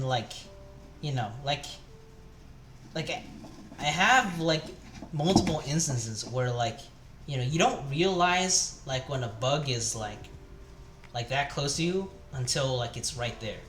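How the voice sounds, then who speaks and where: A man's voice: medium pitch, animated, measured pace, a bit bright, slight background noise. A young man chatting casually while he cooks on a live stream, rambling with lots of fillers and restarts. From Taiwan. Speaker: cooking streamer. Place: home kitchen. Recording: echoey room with music. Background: background music and chopping.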